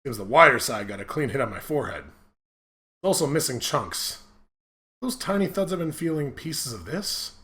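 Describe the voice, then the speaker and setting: A man's voice: medium pitch, very animated, moderate pace, sharp tone, roomy sound. A man co-hosting a gaming show, reading aloud and bantering casually in a crude, jokey manner.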